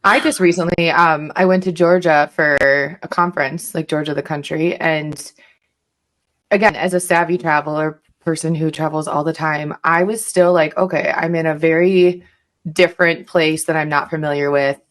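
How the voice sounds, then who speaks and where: A woman's voice: low-pitched, steady tone, moderate pace. A woman speaking as a podcast guest, sharing personal stories in an informal, exaggerating way. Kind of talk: casual conversational storytelling.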